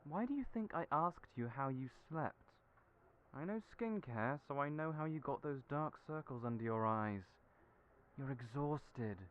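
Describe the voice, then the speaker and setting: A chatty, flamboyant hairdresser, voiced by a man, whose pitch swoops up and down as he fusses over everyone. He calls people dearie with a smooth, polished tone, though the recording sounds a little dull. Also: animated, measured pace, close to an everyday voice. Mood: nosy. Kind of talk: audio roleplay acting.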